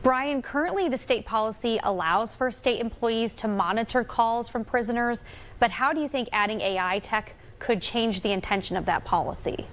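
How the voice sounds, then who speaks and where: A woman's voice: on the high side, normal speed, fairly bright tone. American woman anchoring local news. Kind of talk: broadcast news interview.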